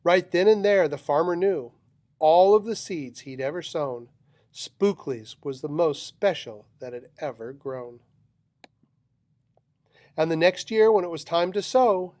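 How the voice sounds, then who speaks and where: A man's voice: fairly high pitch, lively intonation, unhurried, a little mellow, no echo. A man reading a children's picture book aloud with dramatic, rhythmic delivery of rhyming verse. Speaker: storybook reader.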